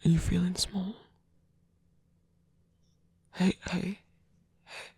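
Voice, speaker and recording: A soft, very husky male lover, acted by a man in an ASMR roleplay. He is gentle and caring, talking slowly and hesitantly. The sound is close and dry. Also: high voice, level tone, no room sound, heavily acted. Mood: gentle concern. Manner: soft, hesitant, breathy delivery.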